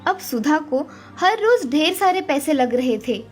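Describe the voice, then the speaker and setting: A high-pitched female storyteller narrates a children's moral tale from a script. Music plays softly behind her voice. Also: sing-song, a little slow.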